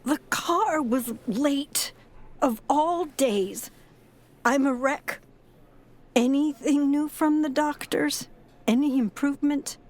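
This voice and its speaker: Slow, high-voiced grieving grandmother. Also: a little mellow. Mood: distressed, grieving. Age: older. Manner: halting, sighing, sorrowful. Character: frail, grieving, tough old grandmother and former foster mother. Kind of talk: audio drama acting.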